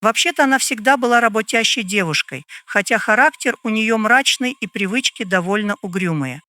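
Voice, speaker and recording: A man voicing a gaunt, stern middle-aged landlady in an audio drama, guarded and measured in her speech. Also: sits high, animated, bright, forceful tone, no echo, studio quality, voice pushed up. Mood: guarded, grim.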